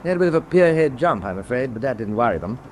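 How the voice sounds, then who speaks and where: An actor playing a courteous British naval first lieutenant with a British accent and brisk manner in a radio drama. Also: male voice, mid-range voice, big pitch swings, darkish tone, lightly acted. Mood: respectful. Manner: brisk, polite, officer-like. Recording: faintly noisy radio drama recording.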